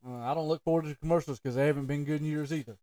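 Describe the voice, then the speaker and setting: A man speaks in a voice a little higher than most. He banters casually as the co-host of a sports podcast. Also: steady tone, very fast, warm tone.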